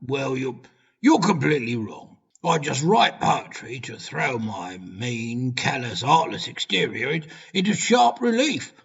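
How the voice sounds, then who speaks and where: A man playing a callous, pompous alien spaceship captain in an amateur audio drama, speaking in a deliberate, theatrical way. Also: mid-range voice, very animated, creaky, lo-fi sound. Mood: scornful, commanding. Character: menacing, callous male alien spaceship captain who writes poetry.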